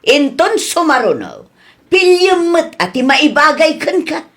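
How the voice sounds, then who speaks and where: A woman playing a nagging, sharp-tongued middle-aged aunt in a scolding, argumentative radio comedy drama. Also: sits high, sing-song, measured pace, heavily acted.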